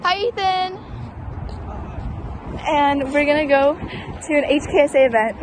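A woman's voice: high voice, measured pace, a little hiss or hum, low-quality recording. A young woman vlogging casually to her phone camera in a dorm room, bubbly and chatty, often giggling. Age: young adult. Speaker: student vlogger. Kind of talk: casual vlog chat to camera. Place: college dorm room, indoors. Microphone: phone held at arm's length.

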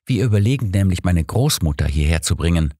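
A man's voice: deep voice, expressive, a little fast, mellow, breathy edge, dry recording, clean studio sound. A man voicing an earnest, concerned woman who helps investigate, in a mystery audiobook. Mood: helpful. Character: concerned, quick-witted woman helping an investigation.

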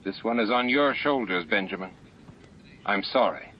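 A man's voice: fairly low pitch, animated, moderate pace, slight background noise, natural voice. A man voicing a grave, formal fleet admiral in a science fiction audiobook, firm and earnest. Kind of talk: audiobook character dialogue. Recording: muffled lo-fi audiobook with music.

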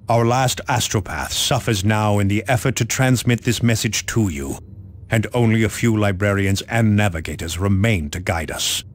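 Solemn low-voiced space marine captain. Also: male voice. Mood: grave, resigned. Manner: solemn, formal, measured. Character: grave stoic space marine captain, sending orders to his commander. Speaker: military commander. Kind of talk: video game mission briefing, dramatic acting.

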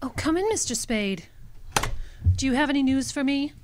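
Woman acting a scheming noir temptress, raised pitch, girlish, fretful, hesitant, radio drama. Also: animated, moderate pace, background noise. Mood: anxious, fretful. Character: coy, fretful, scheming femme fatale.

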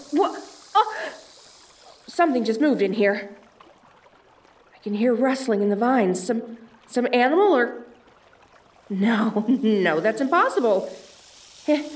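An actress playing a determined young woman treasure hunter in an audio drama, talking to herself in a dramatic way. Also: a little high. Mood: panicked, struggling. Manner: breathy exclamations, self-coaxing, dramatic. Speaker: audio roleplay voice actor.

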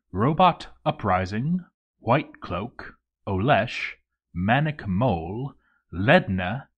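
A man reading a written video game playthrough aloud as scripted narration, announcing events with some theatrical flair. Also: medium pitch.

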